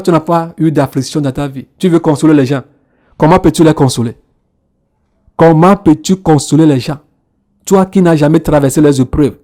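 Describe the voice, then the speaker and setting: A man's voice: a little high, mellow. A man, repeating phrases and punctuating them with hallelujah. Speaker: preacher. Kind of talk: sermon.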